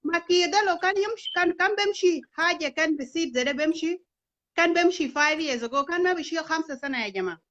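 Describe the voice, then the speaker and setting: A woman's voice: very high-pitched, animated, brisk, fairly bright tone, muffled recording. A woman in her thirties who grew up in Kenya, speaking as an interview guest in an earnest, persuasive way and asking rhetorical questions. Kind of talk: impassioned political talk.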